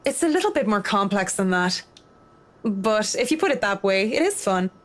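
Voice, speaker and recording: An actress playing a reserved, blunt professional woman in a horror visual novel, speaking in a measured, dry way. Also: mid-range voice, very animated, close to an everyday voice. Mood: polite, reserved.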